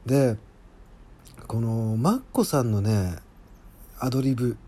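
A man talks on his own as a podcast host, in a relaxed, mellow voice at an average male pitch. He gives casual behind-the-scenes commentary as a scriptwriter, chatty and admiring as he goes. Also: slow.

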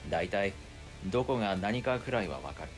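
A man's voice: fairly low pitch, lively intonation, normal speed, soft background music, natural voice. A man playing an exasperated, long-suffering young man who narrates his own story in an audio drama. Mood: stunned narration. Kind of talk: first-person scripted narration in audio drama.

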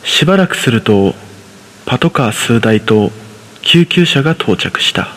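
A man's voice: medium pitch, expressive, measured pace, a bit bright. A man narrating a scripted horror story in the first person. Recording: long reverb on the voice.

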